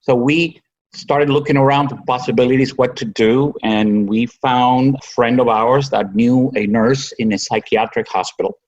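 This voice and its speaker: A man in his sixties with a Cuban accent, a native Spanish speaker, telling his own story conversationally and haltingly. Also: mid-range voice, fairly even intonation, dull audio. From Cuba. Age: 60s. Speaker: podcast guest, physician.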